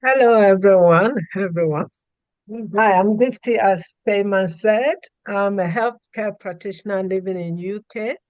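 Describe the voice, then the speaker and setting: Slow-speaking earnest woman. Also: mid-range voice, darkish tone, no echo, rough recording. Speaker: healthcare practitioner introducing herself. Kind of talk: self-introduction on a group call.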